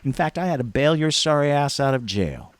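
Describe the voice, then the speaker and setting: A man playing a gruff but loyal old fishing buddy in an audio drama, with blunt, folksy delivery. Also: a little high, lively intonation, a little fast, no echo, close to an everyday voice. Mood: dry, teasing.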